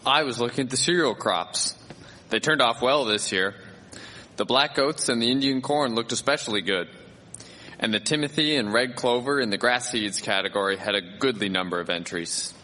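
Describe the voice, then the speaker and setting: A man's voice: medium pitch, moderate pace, bright, forceful tone, lightly acted. A man playing a plain-spoken, approving 1870s countryman at a farm fair in a historical radio drama, in a reverberant hall. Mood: satisfied. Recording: long echoing hall reverb.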